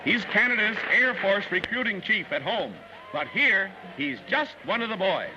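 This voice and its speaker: A man's voice: high-pitched, very animated, moderate pace, muffled recording, heavy background noise. An American man giving scripted 1940s newsreel narration over music on an old film soundtrack.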